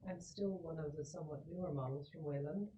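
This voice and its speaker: A tabletop game player with a dark, mellow voice of middle pitch talks casually about the party's plans. The sound is somewhat muffled and dull. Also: fast talker, a little hiss or hum. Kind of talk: casual in-character game discussion.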